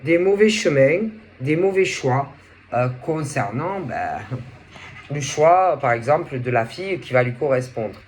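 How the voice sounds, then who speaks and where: A woman with a head cold and a sore throat, giving a casual tarot reading in a halting way, often searching for words. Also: extremely low pitch, expressive, slight background noise. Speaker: tarot reader.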